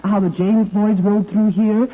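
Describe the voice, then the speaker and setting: A woman's voice: medium pitch, steady tone, unhurried, lightly acted. A woman playing a polite, drily skeptical neighbor in a 1940s radio comedy. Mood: polite, amused. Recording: tinny vintage radio recording, faint noise.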